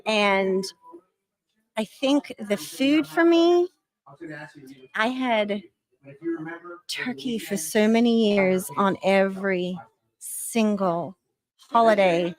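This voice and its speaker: A woman's voice: mid-range voice, extremely slow, long pauses, low-quality recording. Woman with a faded Australian accent. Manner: chatty, laughs often, warm agreement sounds. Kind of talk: casual chat.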